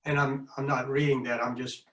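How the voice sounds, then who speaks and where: A man's voice: mid-range voice, level tone, a little fast, fairly bright tone. A man in the audience tentatively asking a question in a group discussion. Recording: roomy, echoing, away from microphone.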